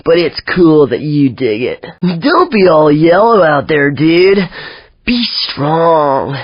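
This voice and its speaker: A spacey sixties hippie drawls through video game quips in a mellow, dazed way. He sounds like a peace-loving slacker. The audio is dry, close and a bit dull. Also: a little high, big pitch swings, takes it slowly, slightly rough, dry recording, full character voice.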